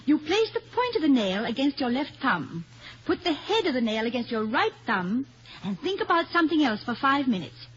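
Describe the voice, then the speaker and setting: A coaxing, charming wife, very animated. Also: female voice, high voice, normal speed. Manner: soothing, coaxing, gracious. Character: charming, coaxing, playfully wry middle-aged wife. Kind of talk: 1950 American radio comedy acting. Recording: muffled, noisy old radio broadcast recording.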